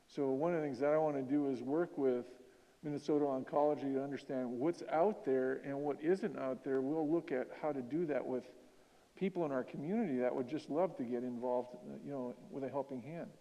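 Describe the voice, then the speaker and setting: A man organizing a church caregiver seminar, speaking earnestly and plainly with occasional pauses. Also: mid-range voice, dark tone, muffled recording. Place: church meeting hall. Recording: echoey hall.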